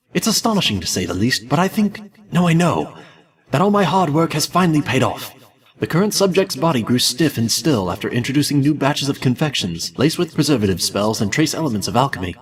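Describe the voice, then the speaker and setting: Man reading as an obsessive candy-maker scientist, fairly high, lively swings, theatrical, a bit distant. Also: lightly acted. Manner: excited, theatrical journal narration. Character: obsessive male candy maker recording experiments. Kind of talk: fanfiction audiobook reading.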